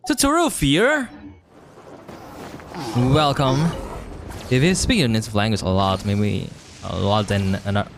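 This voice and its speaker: A man's voice: lowish voice, animated, slow pace, pauses often, noisy background. Chatty young man streaming a game. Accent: non-native English. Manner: chatty, rambling, reads and answers chat. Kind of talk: casual chat while playing a game.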